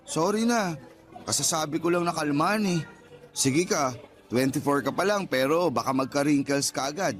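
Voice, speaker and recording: An actor playing a defensive, teasing, flippant young boyfriend with a Filipino accent in a radio drama, whining out excuses. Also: male voice, a little high, moderate pace, lightly acted. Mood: placating. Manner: whiny, flippant excuse-making. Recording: background music under the voice.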